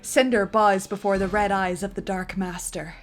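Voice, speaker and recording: A woman's voice: medium pitch, steady tone, normal speed, faint music behind. A woman narrating a film script with a theatrical, emphatic delivery.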